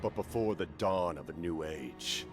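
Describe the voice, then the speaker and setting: An actor playing an imposing, grave male commander in a video game, speaking in a slow, measured, solemn way. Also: deep voice, expressive, over music. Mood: grave menace.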